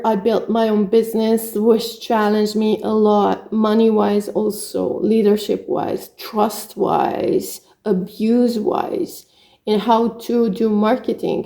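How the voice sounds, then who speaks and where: A woman's voice: mid-range voice, warm tone. A middle-aged woman with a Hungarian accent, telling her own story as a spiritual coach in earnest short phrases. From Romania. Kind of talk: personal story monologue.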